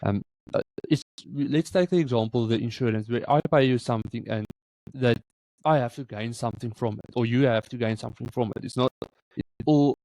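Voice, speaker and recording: A man with an Afrikaans South African accent, interviewing a guest with halting questions full of fillers. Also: mid-range voice, gravelly, a little mellow, no room sound, poor audio. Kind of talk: interview conversation.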